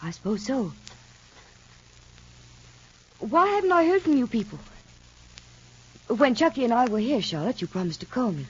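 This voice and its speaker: A woman's voice: fairly high pitch, big pitch swings, normal speed, long pauses, husky. An actress playing an anxious, questioning mother figure in an old radio soap, speaking with emotion, on a lo-fi vintage recording. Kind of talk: 1940s radio acting.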